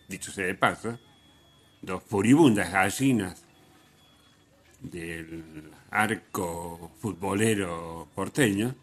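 Man, Buenos Aires accent, radio host, ironic monologue, swinging intonation, slightly deep. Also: vocal fry. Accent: Buenos Aires Argentine Spanish. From Argentina. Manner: rambling, sardonic, colloquial.

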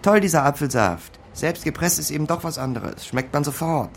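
An actor playing a smooth, glib small-time con man narrating his capers in a German radio crime drama. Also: on the high side, expressive, moderate pace, slight background noise. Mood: dry, self-satisfied. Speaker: narrator and lead character.

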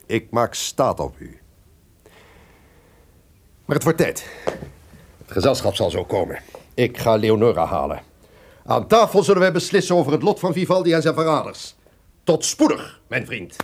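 An actor playing a cunning, distrustful lord who feigns friendship, formal and insinuating in a gothic radio drama. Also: male voice, mid-range voice, animated, normal speed, pauses often. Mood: falsely friendly.

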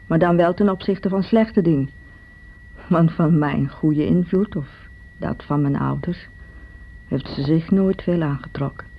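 An actress playing a blunt, scornful elder sister in a Dutch radio drama, speaking with dry, matter-of-fact disapproval. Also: female voice, deep voice. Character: scornful, blunt elder sister judging her wayward sibling. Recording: muffled, noisy old radio recording.

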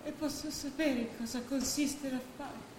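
A bitter young bride-to-be who demands what is hers. Her voice is high and theatrical, accusing in tone. She sounds off-mic in a heavily reverberant stage space. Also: steady tone, unhurried. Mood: demanding. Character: defiant young heiress, bitter and demanding, about to marry. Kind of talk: staged radio drama acting in Italian. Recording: very echoey, distant stage recording, faint noise.

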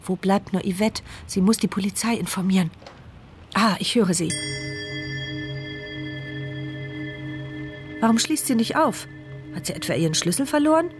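Radio drama lead: actress playing anguished woman, mid-pitched inner monologue, short present-tense thoughts, prominent music bed. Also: animated, a little fast, close to an everyday voice. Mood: anxious, alert. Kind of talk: dramatic first-person radio play monologue. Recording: studio radio play with background music.

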